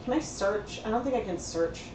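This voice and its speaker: Slightly hoarse woman chatting in a roomy space. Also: mid-range voice, lively intonation, fairly quick, noisy background. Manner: chatty, thinks aloud, many fillers. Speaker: livestream host dyeing yarn. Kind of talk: casual livestream tutorial chat. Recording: roomy sound from a distant mic.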